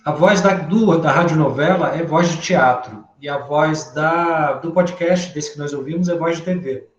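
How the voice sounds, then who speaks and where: Brazilian man musing in an echoey room. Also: on the high side. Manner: thoughtful, halting, thinking aloud. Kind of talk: reflective spoken commentary in a class discussion. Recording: echoey room, dull lo-fi sound.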